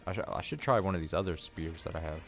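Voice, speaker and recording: A man streaming a video game, chatting excitably and reading item descriptions aloud. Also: sits low, fast, gravelly, music in the background. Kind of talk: casual gameplay commentary.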